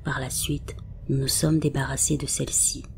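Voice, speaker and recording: A woman reading scripted paranormal stories as a narrator, in a soft, half-whispered delivery. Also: very deep voice, little pitch movement, moderate pace, creaky, background noise. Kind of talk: scripted narration read aloud. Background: faint background music.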